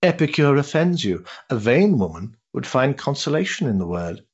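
An actor playing an urbane, cynical older aristocrat in a period stage drama, pompous and sardonic in ornate phrasing. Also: male voice, mid-range voice, very animated, normal speed, breathy edge, dull audio. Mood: mocking, disdainful.

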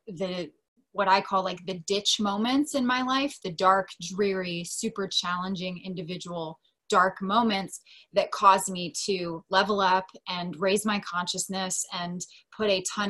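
A woman's voice: mid-range voice, a bit bright. An American woman in her mid thirties talking casually and expressively about herself as an interview guest. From Delaware, United States. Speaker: podcast guest, teacher and coach. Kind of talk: casual personal storytelling in an interview.

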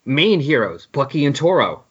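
A man's voice: mid-range voice, big pitch swings, moderate pace, slightly rough, dull audio. A man co-hosting a podcast, chatting casually and wryly about comics. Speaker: podcast co-host.

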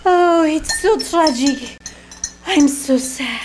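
A woman's voice: high voice, slow. A woman playing a dramatic, scatterbrained aspiring film actress in a theatrical, gushing old-time radio comedy style. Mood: mock-tragic. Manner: theatrical, mock-tragic, gushing. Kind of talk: 1950s radio comedy acting, live on stage. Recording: live stage recording with faint noise.

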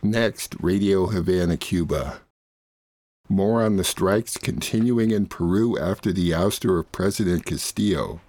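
A man's voice: low voice, unhurried, a little mellow, dry recording. A man hosting a radio programme, reading scripted links and summaries. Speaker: programme host.

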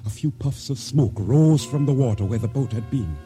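Man acting a grave, dark-voiced seafaring storyteller. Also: medium pitch, lively intonation, natural voice. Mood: grave, regretful. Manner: deliberate, solemn, measured storytelling. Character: wary, grave seafaring boat owner telling his tale. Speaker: narrator and lead actor. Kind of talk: radio drama acting, first-person storytelling. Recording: radio drama with faint music underneath.

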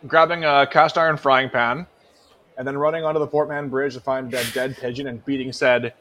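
A man's voice: medium pitch, fairly even intonation, fairly quick, bright, forceful tone. A man co-hosting a podcast in casual chat, swearing freely and restarting his phrases for emphasis. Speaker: podcast co-host. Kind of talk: casual chat about music.

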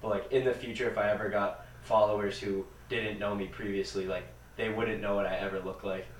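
A young man hosting a casual podcast chat with friends, relaxed and full of "like" and "dude". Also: lowish voice, fairly quick. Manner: laid-back, filler-heavy, says "dude" and "like" often. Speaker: podcast host. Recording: echoey room, mic at a distance, faint noise.

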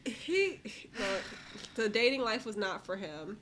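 Bright-voiced woman chatting through a recap. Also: medium pitch, big pitch swings, unhurried, slight background noise. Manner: informal, slangy, recounts others' remarks in mock quotes. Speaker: co-host of a reality TV recap show. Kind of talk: casual chatty recap commentary.

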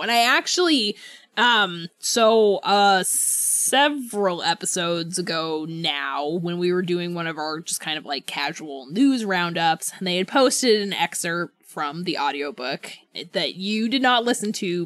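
A woman's voice: fairly low pitch, a bit bright, no echo. A woman co-hosting a podcast, chatting casually about books in an informal, easygoing way and chuckling readily. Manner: informal, chuckles easily while talking. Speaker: podcast co-host. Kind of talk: casual book discussion chat.

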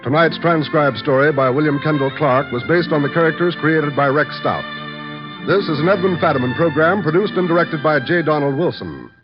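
A male announcer giving a measured, formal closing announcement. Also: on the high side. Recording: dull lo-fi 1950 radio transcription. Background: music.

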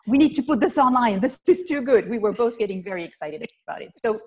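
A woman's voice: on the high side, lively intonation, fast, darkish tone. A woman from Belgium, a physical therapist giving an online presentation in an earnest, explanatory way over a video call. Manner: earnest, explanatory, lists things step by step. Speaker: physical therapist and riding instructor presenting a webinar.

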